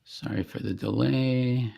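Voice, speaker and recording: A man giving an informal screen-share demonstration as the presenter of a software product. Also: mid-range voice, steady tone, a little slow, vocal fry.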